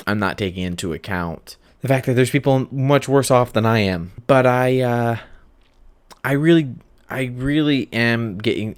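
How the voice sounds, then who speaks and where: A man hosting a podcast alone, talking casually and candidly in a halting, self-deprecating ramble that circles back on itself. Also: lowish voice, clear, resonant tone. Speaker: podcast host. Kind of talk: unscripted solo podcast monologue, casual chat.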